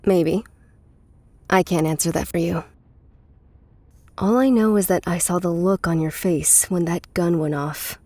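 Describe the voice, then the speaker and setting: An actress playing a weary, guarded middle-aged woman with a bitter past in a naturalistic, measured audio drama performance. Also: lowish voice, warm tone, no echo. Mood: resigned, quiet.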